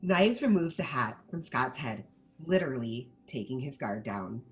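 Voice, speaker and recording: A woman reading stage directions as scripted narration at a table read, in an animated manner. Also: a bass voice, normal speed, faint music behind.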